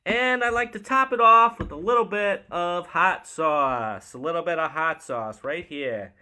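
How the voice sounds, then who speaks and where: A man's voice: sits high, a little slow, bright, forceful tone, full character voice. A man voicing a playful male sandwich maker who hosts a mock interview. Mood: chatty. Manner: chatty, playful, hosting a mock show. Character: quirky male sandwich maker called Shortcake. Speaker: comedic host.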